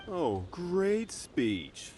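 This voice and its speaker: A man's voice: sits high, sing-song, very slow, mellow, a little hiss or hum, exaggerated voice. A man voicing a cocky, mocking thug henchman in a video game, full of sarcasm and taunts. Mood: contemptuous. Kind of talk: scripted video game voice acting. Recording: music under the voice.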